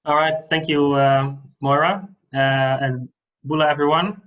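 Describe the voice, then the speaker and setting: A man in his thirties from the Netherlands with a Dutch accent, giving a relaxed online lecture over a video call with many fillers. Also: a little high, a little slow, rough recording. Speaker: guest lecturer.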